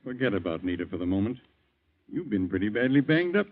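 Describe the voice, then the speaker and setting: A man's voice: fairly low pitch, big pitch swings, quick pace. Stern surgeon father, tinny old radio. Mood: guarded, firm. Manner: curt, haughty. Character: stern, proud older surgeon father, coldly dismissive. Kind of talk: 1940s radio drama acting. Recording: tinny, narrow-band 1940s radio recording.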